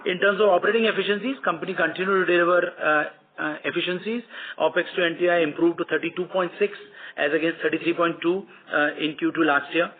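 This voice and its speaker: A man with an Indian accent presenting company results as an executive on an earnings call, formal and measured, with frequent hesitations. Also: fairly high pitch, fairly even intonation. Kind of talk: prepared earnings call commentary. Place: conference call. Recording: tinny phone-line conference call.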